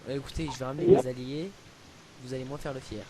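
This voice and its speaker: A man's voice: mid-range voice, huge pitch swings, fast, mellow. A young man improvising a prank call, talking in a provocative, mocking, mock-lecturing way among friends. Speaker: prank caller. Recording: noisy amateur home recording with clicks.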